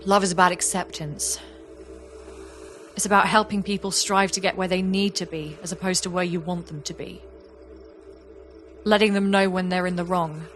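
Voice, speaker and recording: A woman's voice: lowish voice, steady tone, moderate pace, long pauses, a bit bright, soft background music, lightly acted. A woman playing a reflective young artist in an audio play, speaking in an earnest, confessional way. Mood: heartfelt, resolute. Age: young adult. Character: young woman artist, reflective, warm, wistful about an old friend. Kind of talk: audio play monologue and dialogue.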